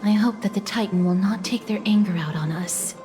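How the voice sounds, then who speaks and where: A woman's voice: medium pitch, brisk, over music, natural voice. An actress playing a polite, guarded, protective young woman in a video game, with careful formal speech. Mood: guarded, earnest.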